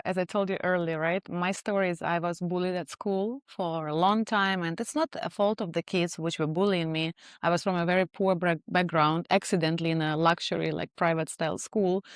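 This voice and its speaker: A woman's voice: on the low side, smooth, no room sound, clean studio sound. Chatty Russian-accented woman. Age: 30s. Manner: chatty, with many fillers and frequent laughs. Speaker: guest, beauty specialist. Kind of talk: casual interview chat.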